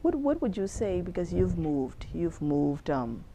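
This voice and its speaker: A woman interviewer with a very low, warm voice that rises and falls in a lively way. She listens closely, laughs readily and nudges the talk along, with a faint hiss in the background. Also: a little slow. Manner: attentive, laughs often, many short affirmations. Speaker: interviewer, host. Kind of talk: conversational interview.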